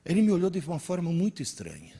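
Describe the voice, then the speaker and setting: Husky, animated man in his sixties. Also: on the high side, quick pace. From Italy. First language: Italian. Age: 60s. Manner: animated, storytelling, quizzes the audience. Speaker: teacher giving a lecture. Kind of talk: interactive lecture to teachers. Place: lecture hall with audience.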